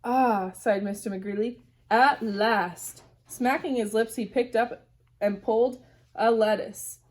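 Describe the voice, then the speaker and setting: A woman teacher reading a storybook aloud to young children in an animated way, pausing to ask them questions. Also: a little high.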